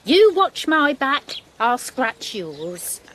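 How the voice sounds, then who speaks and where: A woman's voice: high-pitched, big pitch swings, very slow, a bit bright, a little hiss or hum, heavily acted. An actress playing a middle-aged, coaxing, pushy village mother in a radio soap. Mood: scheming, coaxing. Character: pushy, scheming, doting working-class village mother. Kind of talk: naturalistic radio soap acting.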